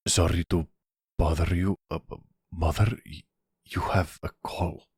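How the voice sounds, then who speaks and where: A man voices a mobster's deferential son in an audio roleplay. He is formal and halting, with a very low, husky voice and slow, careful delivery. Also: fairly even intonation, a little mellow, no room sound, studio quality, heavily acted. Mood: uneasy, formal.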